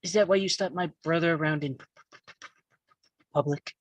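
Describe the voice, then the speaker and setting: A man playing a calm, controlled young mafia boss in a script read, halting and stuttering heavily on consonants. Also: on the high side, dry recording, natural voice. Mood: cold, firm. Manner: halting, deliberate. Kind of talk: live table read of a crime film script.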